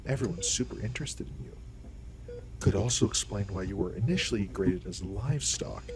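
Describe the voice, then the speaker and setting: A man's voice: fairly low pitch, fairly quick, lightly acted. A man playing a courteous, authoritative alien doctor in an audio roleplay, speaking in a formal, explanatory way. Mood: intrigued.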